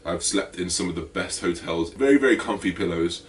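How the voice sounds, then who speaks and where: Guy, deep raspy voice, chatty vlog, sounds a bit far from the mic. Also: fairly quick, slight background noise. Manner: energetic, chatty, full of fillers. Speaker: fitness vlogger. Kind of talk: casual vlog talk to camera.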